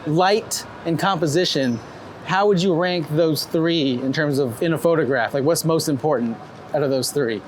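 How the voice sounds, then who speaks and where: A male host interviews a guest on a city street, his questions relaxed and curious. His voice sits a little high for a man. Traffic and street noise come through clearly behind him. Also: normal speed. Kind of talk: casual walking interview.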